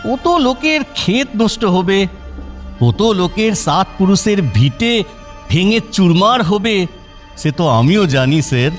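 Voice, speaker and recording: An actor in a radio drama playing a deferential subordinate, meek but quietly bitter and pointed. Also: male voice, high voice, big pitch swings, measured pace, faint music behind. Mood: quiet reproach.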